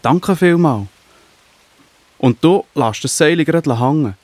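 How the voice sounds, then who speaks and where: Man playing earnest Swiss German spy, higher-pitched. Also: expressive, takes it slowly, a little mellow, no room sound. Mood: earnest, grateful. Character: earnest, devout male spy serving God's people. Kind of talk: children's Bible audio drama acting.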